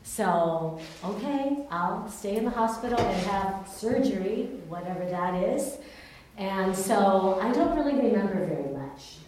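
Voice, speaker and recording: Woman from Taiwan, raised in California, candid testimony, faint background hiss, medium pitch. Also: lively intonation, a little slow, a little mellow. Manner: earnest, candid storytelling. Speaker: speaker giving a personal testimony. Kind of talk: personal testimony storytelling. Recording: very echoey room, distant mic, faint noise.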